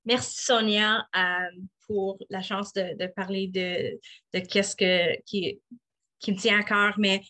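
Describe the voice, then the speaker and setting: A middle-aged woman, a municipal councillor answering interview questions haltingly, with many fillers and restarts. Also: mid-range voice, level tone, bright, forceful tone. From Eastern Ontario, Canada.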